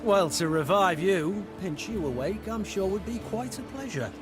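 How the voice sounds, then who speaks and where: A man's voice: high-pitched, animated, moderate pace, over music. A man playing a sly, witty rogue in a video game, speaking in an eloquent, sardonic, teasing way. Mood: wry, teasing. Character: sly, roguish, witty outlaw adviser to queen. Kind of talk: scripted video game voice acting.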